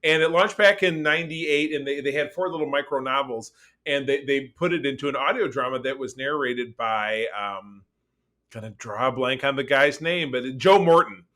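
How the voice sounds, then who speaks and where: A man chatting casually as a podcast co-host, rambling along with animated, geeky enthusiasm. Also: mid-range voice, moderate pace. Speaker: podcast co-host reviewing pop culture. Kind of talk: casual chat.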